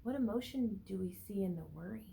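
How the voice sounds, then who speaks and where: A woman teacher reads a picture book aloud to young children, stretching words for emphasis and pausing to ask them questions. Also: medium pitch, creaky, warm tone, a little hiss or hum. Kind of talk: read-aloud storytelling with asides and questions to young listeners.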